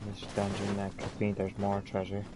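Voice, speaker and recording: A man with a fairly low voice gives casual gameplay commentary. He is low-key and halting, and loud game noise runs behind him. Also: completely flat delivery. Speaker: gamer. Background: video game audio.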